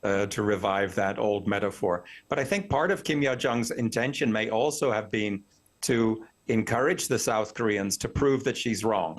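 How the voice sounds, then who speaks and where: Articulate man giving expert analysis, mid-pitched. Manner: articulate, opinionated, explanatory. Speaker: professor, expert news guest. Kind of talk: analytical news interview commentary.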